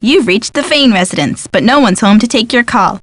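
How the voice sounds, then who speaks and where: Bright, lively young American friend. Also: female voice, a little high, normal speed, no echo. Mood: breezy. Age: young adult. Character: sporty wry young woman, detective's loyal friend. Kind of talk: video game voice acting.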